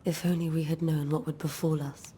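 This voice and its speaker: Actress as grieving, genteel colonial wife. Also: female voice, deep voice, flat delivery, dark tone, a little hiss or hum, lightly acted. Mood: gracious. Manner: formal, measured, old-fashioned phrasing. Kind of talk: dramatic video game acting.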